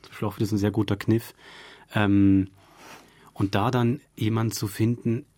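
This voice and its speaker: A man's voice: on the low side, level tone, normal speed, mellow, dry recording. A man speaking as a radio drama director in an interview, thinking aloud in long, loose sentences. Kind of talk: conversational explaining in interview. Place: dry radio studio.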